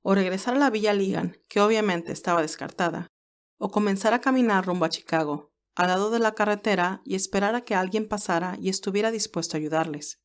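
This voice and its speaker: A woman narrates a story from a script. Her pitch is in the middle range for a female voice. She takes the role of storyteller.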